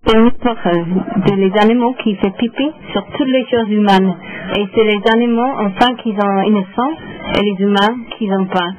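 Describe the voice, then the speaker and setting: A woman speaking French, a singer being interviewed on radio, halting as she searches for words. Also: mid-range voice, steady tone. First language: English. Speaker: singer-songwriter guest. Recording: lo-fi phone-like radio sound with noise.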